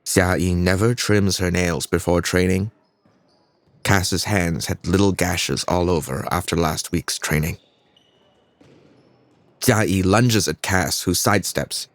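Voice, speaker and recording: An actor playing an earnest young fighter narrating his own story in a measured way, with a Singaporean accent. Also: deep voice, no echo. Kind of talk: scripted first-person audio drama narration.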